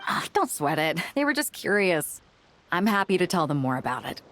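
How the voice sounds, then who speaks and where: A woman's voice: lowish voice, very animated, normal speed, fairly bright tone. A woman playing a breezy, confident battleship captain with a teasing, informal manner in a video game. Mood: friendly, casual. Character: breezy, confident young female battleship captain hawking weapons. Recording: music behind the voice.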